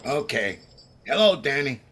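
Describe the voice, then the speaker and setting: An actor playing a scheming, vengeful condemned gangster with tough slangy talk, in the manner of a 1930s radio crime drama. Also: male voice, mid-range voice, sing-song, measured pace, a bit bright, slight background noise. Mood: gruff, urgent.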